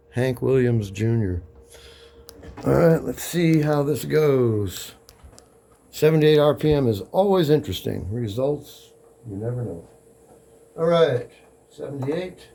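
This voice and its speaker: Mellow, creaky-voiced man talking through a task. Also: mid-range voice. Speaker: record-cutting hobbyist demonstrating a lathe. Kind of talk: casual narrated workshop demonstration.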